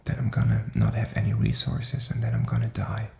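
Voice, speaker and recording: A man's voice: fairly low pitch, little pitch movement, brisk, gravelly, reverberant, noisy background. A man whispering in a rambling, reflective and informal ASMR style, feeling his way through his thoughts. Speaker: ASMR content creator.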